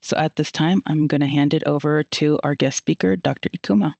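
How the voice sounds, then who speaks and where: A man's voice: fairly high pitch, steady tone, a little fast, creaky, darkish tone, dry recording. A man moderating a live webinar, giving plain, procedural announcements before handing over to the guest speaker. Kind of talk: event announcements.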